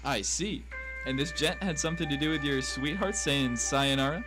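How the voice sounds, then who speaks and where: A man's voice: on the high side, moderate pace, natural voice, rough recording. A man playing brash, folksy American tough guys in a noir radio drama, talking theatrically in old-timey slang. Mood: cocky, chatty. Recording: music bed behind voice.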